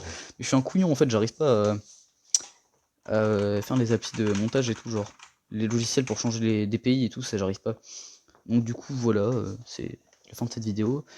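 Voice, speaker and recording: A young man giving a casual tour of his gaming setup, rambling and slangy, a little hoarse from a cold. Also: mid-range voice, warm tone. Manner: rambling, slangy and self-mocking. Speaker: gaming vlogger.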